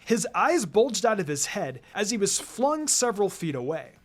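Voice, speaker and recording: A narrator reading fan fiction aloud in an expressive, dramatic and emphatic storytelling style. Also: mid-range voice, moderate pace. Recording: music under the voice.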